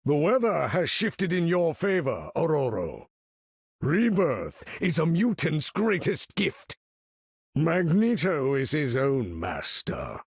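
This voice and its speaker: A proud older supervillain for a video game, husky and gravelly in a raised register. He speaks slowly and grandly, with formal phrasing and sweeping, commanding swings of pitch. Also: big pitch swings, dry recording, studio quality, exaggerated voice. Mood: stately, grave. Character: proud, imperious older male mutant master of magnetism. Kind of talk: theatrical game character voice lines.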